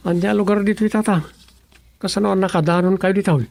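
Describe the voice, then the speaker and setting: A man's voice: high voice, flat delivery, fast talker, creaky, a little mellow, slight background noise. An actor playing a puzzled younger countryman in a radio serial, with a Filipino accent, wondering aloud hesitantly. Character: puzzled younger countryman exploring a strange place.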